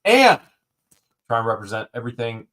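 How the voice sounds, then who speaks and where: A man's voice: medium pitch, big pitch swings, brisk, a bit bright. Wisecracking man calling an auction. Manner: wisecracking, repeats bids, drawls some phrases. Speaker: live auction host. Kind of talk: live-stream auction patter.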